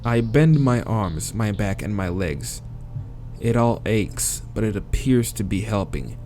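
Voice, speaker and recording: Low-voiced man reading a game story aloud. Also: animated, measured pace, a little mellow, soft background music. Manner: casual, crude interjections. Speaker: let's player reading a visual novel aloud. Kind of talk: reading game narration aloud with casual asides.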